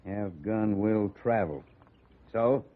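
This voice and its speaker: An actor playing a hard, domineering cattle rancher, curt and commanding, in a 1950s radio Western. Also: male voice, lowish voice, takes it slowly. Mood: dismissive, stern. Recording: tinny old radio broadcast.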